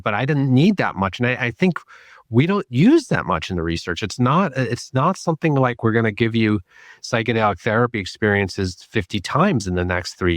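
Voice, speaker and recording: A man speaking as a podcast guest, giving measured, thoughtful answers and repeating points for emphasis. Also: mid-range voice, dry recording, clean studio sound. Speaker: podcast guest, psychedelic therapy clinician. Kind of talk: reflective interview talk.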